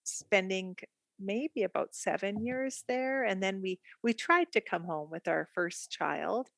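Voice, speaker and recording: A woman's voice: mid-range voice, slow pace, vocal fry, fairly bright tone, no room sound. A middle-aged Canadian woman with a Canadian accent, talking openly and reflectively as a guest in a podcast interview. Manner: chatty, laughs easily, reflective. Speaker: guest art therapist.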